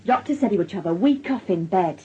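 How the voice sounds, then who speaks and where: A fed-up middle-aged Northern English mother in a radio drama. Her voice is very rough and husky, mid-pitched. She nags and scolds bluntly, and the sound is a bit dull. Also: expressive, fairly quick, mellow. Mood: exasperated, nagging. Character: exasperated, nagging Northern working-class wife and mother. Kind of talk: naturalistic radio drama acting.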